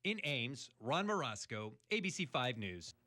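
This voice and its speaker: Male news reporter, American, bright punchy voice, scripted narration, slightly reverberant. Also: medium pitch, sing-song, measured pace.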